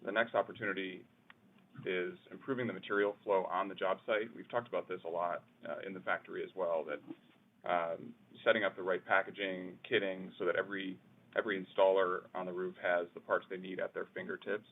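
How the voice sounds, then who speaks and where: Man, engineer on business call, husky, creaky, explanatory, narrow phone sound. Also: low-pitched, level tone, fairly quick. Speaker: engineering manager answering questions. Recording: muffled narrow phone-line audio.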